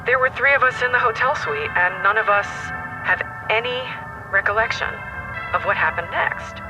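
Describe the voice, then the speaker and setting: A woman playing a dramatic leading-lady actress, telling her story earnestly and haltingly in a TV interview. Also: fairly high pitch, over music, lightly acted, rough recording. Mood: insistent, mysterious. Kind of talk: TV talk show interview acting in audio drama.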